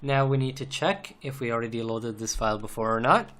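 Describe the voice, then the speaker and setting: Male tutorial instructor, mid-pitched, methodical and repetitive, unscripted screencast with faint noise behind. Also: a little fast, fairly bright tone. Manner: methodical, repeats phrases as he goes. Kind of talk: unscripted step-by-step screencast narration. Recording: noisy home recording, typing and clicks. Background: keyboard typing, mouse clicks, background noise.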